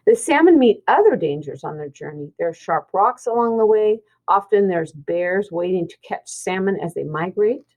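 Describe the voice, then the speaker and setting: A woman's voice: medium pitch, darkish tone, poor audio. Woman, teacher read-aloud, children's science book, very varied intonation, simple explanatory delivery. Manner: explanatory, pointing things out simply.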